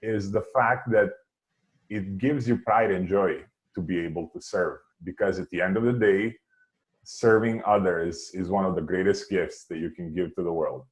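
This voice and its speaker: A man with a very low voice and a Serbian accent. He speaks earnestly, as in an impromptu speech at a public speaking club. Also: fairly even intonation, moderate pace, poor audio.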